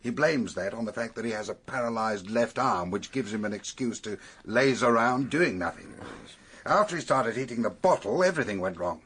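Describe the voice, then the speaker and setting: An actor playing an authoritative, wry police superintendent in a British radio drama, setting out facts in an orderly, emphatic way with a British accent. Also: male voice, medium pitch, expressive, fairly bright tone, husky. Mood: wry, explanatory. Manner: measured, emphatic, expository.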